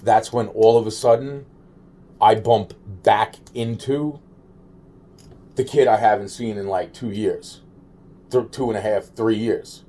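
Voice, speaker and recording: Male solo video maker, relaxed dark tone, lowish pitch, halting and circling, casual and sweary delivery. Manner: halting, repetitive, trailing off and circling back; slangy and profane. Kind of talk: rambling, informal monologue to camera.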